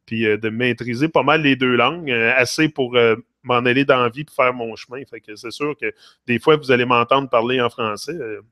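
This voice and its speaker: A man's voice: mid-range voice, moderate pace, bright, forceful tone, no room sound, clean studio sound. A middle-aged man with a Québécois French accent, hosting a casual live stream, switching between French and English and rambling as he talks to viewers by name. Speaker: livestream host.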